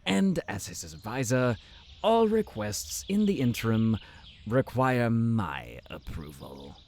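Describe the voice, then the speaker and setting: An actor playing a pompous, conniving town advisor in a fantasy audio drama, haughty and mock-polite in a drawn-out delivery. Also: male voice, medium pitch, sing-song, darkish tone. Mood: smug, scheming. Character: pompous, conniving male town advisor, smug and easily enraged. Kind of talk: fantasy audio roleplay acting.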